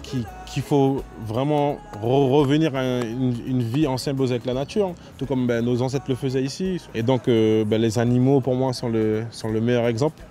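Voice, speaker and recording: A man from French Guiana speaking casually as an interviewed graffiti artist, in a halting way with repeated words. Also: medium pitch, steady tone. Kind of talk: casual spoken interview about own work. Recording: loud music under the voice.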